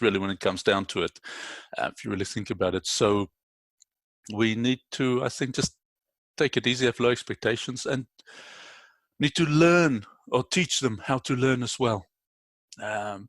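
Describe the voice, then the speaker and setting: A man adding his view in a webinar discussion over a video call, speaking haltingly with many fillers. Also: mid-range voice, a bit bright, no echo. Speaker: webinar participant teacher. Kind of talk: casual contribution in discussion.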